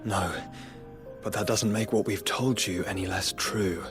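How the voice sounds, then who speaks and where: Low, solemn warrior. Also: male voice, fairly even intonation. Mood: somber. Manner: slow, solemn. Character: somber, earnest warrior man warning of doom. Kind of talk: dramatic game dialogue. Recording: music behind.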